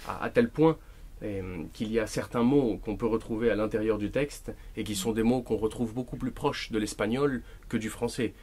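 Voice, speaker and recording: A man whose first language is Spanish, an author talking at a bookshop event, thoughtful and deliberate, often restarting his words. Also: fairly low pitch, darkish tone, breathy edge. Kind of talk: bookshop talk, reading and answering.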